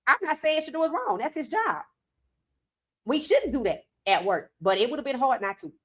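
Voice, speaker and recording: A woman hosting a sports commentary livestream, hoarse from talking, animated and emphatic with casual slang. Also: high-pitched, normal speed. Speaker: host.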